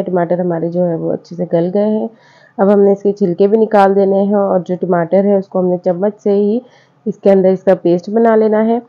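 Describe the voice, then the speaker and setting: A woman narrates a cooking video over the footage, friendly and patient. Her mid-range voice runs through each step in a chatty, repetitive home-cook way. The recording sounds a little dull and lo-fi. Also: mid-range voice. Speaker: cooking channel host. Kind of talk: voice-over recipe instructions.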